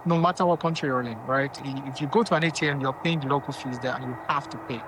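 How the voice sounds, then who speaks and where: A man's voice: mid-range voice, fast talker, background noise. A man from Nigeria, a company founder giving casual money advice and often checking in with his listeners. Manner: conversational, checks in with "okay?" and "right?".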